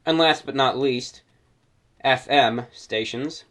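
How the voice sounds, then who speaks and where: A man's voice: mid-range voice, takes it slowly, fairly bright tone. A man hosting a radio hobby show, explaining in an informal presentation with slightly formal, stilted phrasing. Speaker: host of a shortwave radio show.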